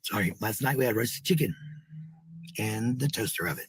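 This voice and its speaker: A woman with a husky, raspy voice, ranting casually to her followers in a defensive, blunt, sweary manner. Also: very deep voice, animated, normal speed, a little hiss or hum. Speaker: livestreamer.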